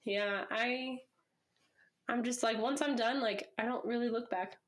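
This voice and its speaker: A woman's voice: a little high, measured pace, a bit bright. Chatty young woman podcast host, slightly wheezy. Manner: laughs often, chatty. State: wheezy, recovering from illness. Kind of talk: casual chat. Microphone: headphones, podcast mic.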